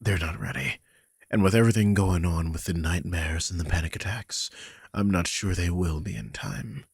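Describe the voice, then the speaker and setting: A man's voice: low voice, moderate pace, no echo, clean studio sound, heavily acted. A man playing a worried, guilty vampire king who is protective of his charge, confiding wearily in an audio roleplay. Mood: worried, urgent. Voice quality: husky, breathy. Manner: confiding, weary, sighing, swears. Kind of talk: dramatic audio roleplay, one side of a conversation.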